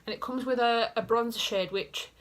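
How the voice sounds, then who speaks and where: A woman's voice: a little high, normal speed. A young woman with a Yorkshire accent, chatting casually to camera in her bedroom, rambling with self-mocking asides. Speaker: beauty vlogger. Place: small bedroom indoors. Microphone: camera in front at desk height.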